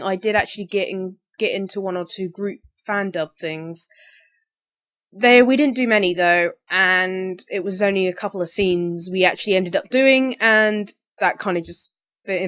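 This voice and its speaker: A woman's voice: lowish voice, fairly bright tone. A young adult woman, slightly tired, talking in a rambling, informal way as she answers questions about her hobby. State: slightly tired, recently woken. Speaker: amateur voice actor. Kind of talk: casual question-and-answer vlog.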